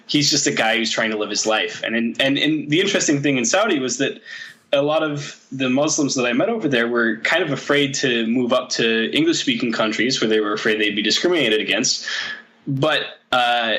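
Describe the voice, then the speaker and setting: A man, a powerlifting coach, talking as a podcast guest in a relaxed, rambling way with many pauses. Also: medium pitch, low-quality recording. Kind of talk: casual podcast conversation.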